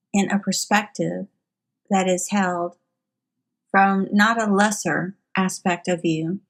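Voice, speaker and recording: A woman leading a guided meditation in formal, solemn phrasing with frequent pauses. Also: medium pitch.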